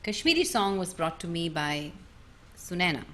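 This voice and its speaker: A middle-aged woman singer from India talking on a video call, thoughtful and pausing with many ums. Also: on the low side, very animated, fairly bright tone, slight background noise.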